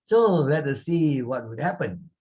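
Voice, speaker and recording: A man's voice: mid-range voice, lively intonation, measured pace, darkish tone. A man with a Malaysian accent telling a story as a teacher, explaining as he goes and often checking with little tag questions. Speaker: teacher narrating a moral story.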